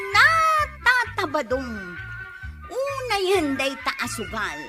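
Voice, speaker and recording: A woman's voice: sits high, heavily acted. A woman with an Ilocano accent playing an exasperated wife in a comedy drama, nagging and reproaching her gambler husband. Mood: admonishing.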